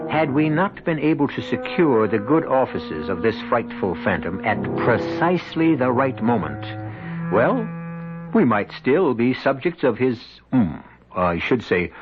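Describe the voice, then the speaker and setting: Dramatic male radio narrator, very animated. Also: mid-range voice. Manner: dramatic, deliberate, suspenseful. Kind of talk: theatrical scripted narration, old-time radio. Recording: muffled vintage radio recording with music.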